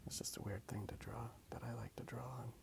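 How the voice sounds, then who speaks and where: A man rambling softly and hesitantly in a hushed ASMR style, recorded outdoors on a handheld phone. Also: medium pitch, fairly even intonation, fairly quick, creaky, background noise. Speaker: host of a drawing ASMR video. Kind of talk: soft-spoken ASMR rambling. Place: outdoors in a park.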